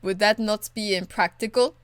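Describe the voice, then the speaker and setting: A woman's voice: medium pitch, normal speed, a bit bright, clear, resonant tone, dry recording, natural voice. A woman voicing a blunt, stern and dutiful warrior in a video game, speaking in a curt, earnest, formal way. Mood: modest, brusque. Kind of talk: video game dialogue acting.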